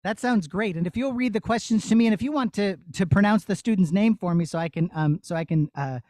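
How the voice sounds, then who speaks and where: A man's voice: high voice, fast, a little mellow, no room sound. A man presenting as a puppeteer to children, explaining step by step in simple, child-friendly words. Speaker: puppeteer presenting his show. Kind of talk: demonstration and Q&A for children. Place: puppet theatre studio.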